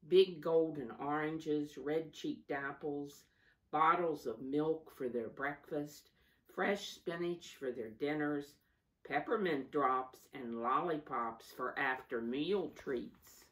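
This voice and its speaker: A woman reading a storybook aloud to young children as a teacher, deliberate and instructive, stressing key words and adding simple asides. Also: sits low. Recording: voice distant from the microphone.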